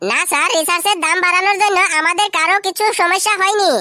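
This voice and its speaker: A performer voicing a cheeky, quick-witted schoolboy prankster with a squeaky, artificially high voice in a comedy cartoon. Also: fairly even intonation, sharp tone, no room sound, clean studio sound, exaggerated voice. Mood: cocky.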